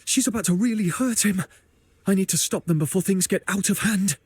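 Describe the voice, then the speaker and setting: A young video game hero, watchful and protective. His voice is notably breathy and husky, sitting a little high for a man. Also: brisk, darkish tone. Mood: alert, concerned.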